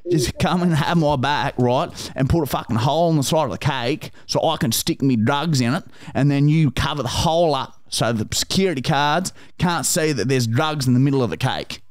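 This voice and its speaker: A man's voice: on the high side, normal speed, hardly any pauses, no room sound, clean studio sound, lightly acted. A man with an Australian accent playing a crude, pushy bloke on a prank call, swearing constantly and calling everyone mate and brother. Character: crude pushy bogan bloke, loud and pleading. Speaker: prank caller.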